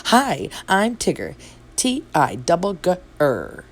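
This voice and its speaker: A woman's voice: on the low side, wildly swooping pitch, takes it slowly, exaggerated voice. A woman voicing a bouncy, boastful male tiger in a storybook, with a brash, chummy, exuberant manner. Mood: brash, cheerful. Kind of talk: storybook read-aloud.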